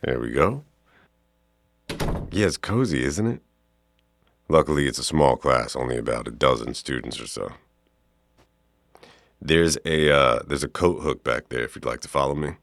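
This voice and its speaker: Very deep, creaky-voiced flirty art professor played by a man. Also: animated, exaggerated voice. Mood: warm, flirty. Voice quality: very deep, gravelly.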